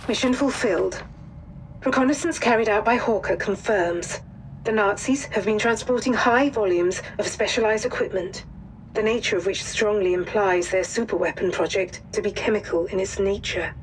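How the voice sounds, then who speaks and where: An actress playing a formal wartime intelligence officer, delivering a measured, precise mission briefing. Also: female voice, medium pitch, a little hiss or hum, close to an everyday voice, rough recording. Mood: formal, grave. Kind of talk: scripted mission briefing narration.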